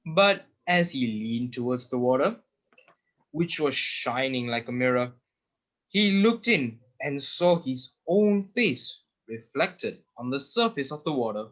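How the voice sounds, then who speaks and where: A man's voice: medium pitch, animated, takes it slowly, fairly bright tone. A young male student narrating a children's animal tale as scripted readers theater. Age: teenager or young adult student.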